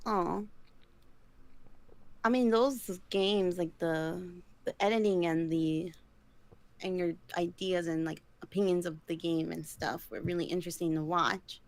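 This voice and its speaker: A woman's voice: on the low side, steady tone, a little slow, no echo. Chatty young woman, rambling with lots of fillers. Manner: chatty and rambling, lots of likes and ums, giggly. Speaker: podcast co-host. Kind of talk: casual chat.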